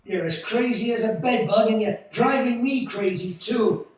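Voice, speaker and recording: Frantic, hot-tempered family man male actor for a vintage radio play; high pitch, distant in an echoey room. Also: moderate pace. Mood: irritated. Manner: agitated, stammering, insistent. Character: desperate, agitated American family man, bewildered and hot-tempered. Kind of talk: 1940s-style radio play acting.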